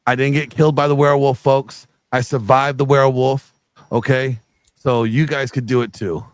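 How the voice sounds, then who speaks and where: A man's voice: mid-range voice, level tone, normal speed, no echo. A middle-aged man speaking earnestly as a guest on a video call interview, rambling with many fillers. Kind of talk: casual interview chat.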